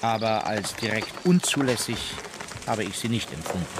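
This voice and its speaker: An actor playing an observant naval courtier recalling events like diary entries, in a measured, matter-of-fact way. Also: male voice, on the low side, lively intonation, very noisy, lightly acted. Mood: sober. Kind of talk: diary-like recollection in a docudrama.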